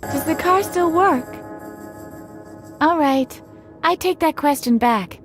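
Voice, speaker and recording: A woman's voice: high-pitched, takes it slowly. A woman voicing a plucky, wry young girl who escaped an asylum, in an adventure game. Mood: determined. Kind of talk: adventure game character acting. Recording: background music under the voice.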